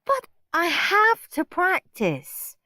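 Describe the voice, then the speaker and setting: A woman's voice: very high-pitched, huge pitch swings, bright, forceful tone, no room sound, studio quality, voice pushed up, heavily acted. A woman reading aloud, voicing a bossy, demanding little girl who whines and drags out her complaints. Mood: whiny. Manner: whiny, drawn-out complaining.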